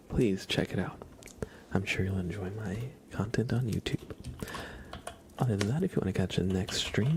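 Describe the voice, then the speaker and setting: Creaky, whispery man doing soft ASMR. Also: on the low side, a little hiss or hum. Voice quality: soft, breathy half-whisper. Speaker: livestream host, ASMR streamer.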